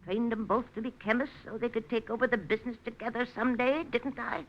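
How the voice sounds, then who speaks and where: An actress playing a stubborn, bossy old great-aunt of about eighty in a 1940s radio drama. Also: female voice, a little high, fairly even intonation, quick pace, lightly acted. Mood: indignant, defiant. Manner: crotchety, bossy, emphatic. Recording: dull, noisy old radio recording.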